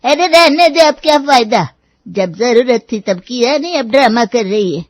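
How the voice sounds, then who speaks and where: A woman voicing a melodramatic, fault-finding old grandmother with a sarcastic manner in an animated story. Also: on the high side, moderate pace, no room sound, lightly acted. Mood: scornful, grumbling. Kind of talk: Hindi animated moral story dialogue.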